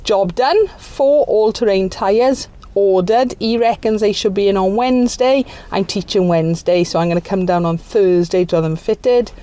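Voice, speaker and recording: A woman's voice: mid-range voice, normal speed, hardly any pauses, mellow. A woman, chatting casually and colloquially as she narrates her day for a vlog. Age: 50s. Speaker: vlogger.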